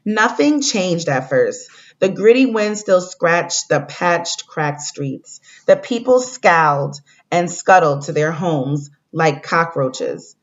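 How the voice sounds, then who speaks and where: A woman teacher giving a read-aloud lesson to young pupils, speaking in a deliberate way and addressing the children directly. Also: lowish voice, lively intonation. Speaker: elementary school teacher. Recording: dull lo-fi recording.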